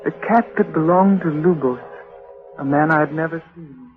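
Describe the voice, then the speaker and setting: A woman's voice: low-pitched, moderate pace, natural voice. An actress playing a haunted, frightened young woman in anguished, breathless 1940s radio drama style. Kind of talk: 1940s radio drama acting, with first-person narration. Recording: tinny band-limited old radio recording, music.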